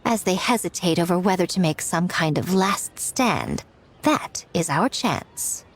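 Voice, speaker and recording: A woman playing a sly, poised shrine priestess in an anime game, speaking in a smooth, deliberate, theatrical way. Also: lowish voice, expressive, a little hiss or hum. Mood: sly, calculating.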